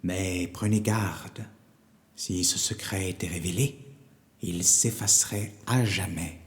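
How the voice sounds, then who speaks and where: Guy doing a wise old storybook wizard, very slow, deep n mellow, solemn. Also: long reverb tail. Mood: tender, grave. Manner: slow, grave, deliberate. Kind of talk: storybook character voice.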